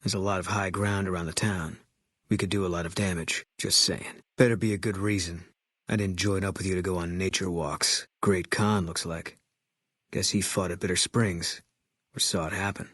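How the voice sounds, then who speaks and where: An actor playing a terse, stoic ex-military sniper in a video game, grim and fatalistic, with a low husky voice. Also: male voice, steady tone, normal speed, a bit bright, no room sound, studio quality. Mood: grim, guarded. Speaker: video game companion character.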